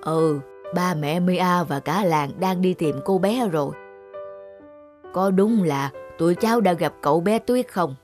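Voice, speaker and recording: A woman playing a kindly old village grandmother in a children's cartoon, gentle and reassuring. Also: lowish voice, unhurried, faint music behind, lightly acted. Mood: calm, reassuring.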